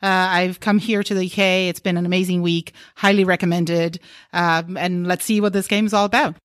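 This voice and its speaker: A woman's voice: on the low side, fairly even intonation, moderate pace, dry recording, studio quality. A woman introducing herself in a friendly, upbeat way. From Brazil.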